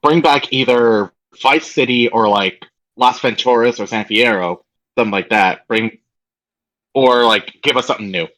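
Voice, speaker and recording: A man's voice: medium pitch, moderate pace, fairly bright tone, low-quality recording. A man co-hosting a podcast, talking informally and casually about video games. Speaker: podcast co-host.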